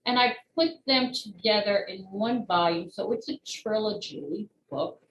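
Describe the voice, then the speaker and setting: A woman's voice: mid-range voice, measured pace, highs cut off. A woman talking as an interview guest, an author explaining her work in careful detail. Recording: roomy echo, faint background noise.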